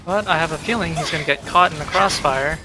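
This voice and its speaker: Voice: medium pitch, fast talker, rough recording. A young adult streamer giving casual gameplay commentary. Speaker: gaming streamer. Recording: game music behind the voice.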